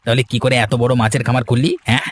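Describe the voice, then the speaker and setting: A man's voice: mid-range voice, fairly even intonation, quick pace, slightly rough, close to an everyday voice. A man voicing a scheming villager who poses as a helpful friend in a cartoon folk tale, with a sly, overfamiliar, wheedling manner. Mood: overfamiliar, prying. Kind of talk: cartoon fairy-tale dialogue.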